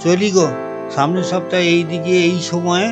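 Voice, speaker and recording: Man playing older cartoon moneylender, fairly high male voice, sanctimonious, sugary, ruthless, faint music behind. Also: level tone, normal speed, lightly acted. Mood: smug, pious. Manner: unctuous, pious, chanting devotional phrases. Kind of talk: Bengali cartoon voice acting.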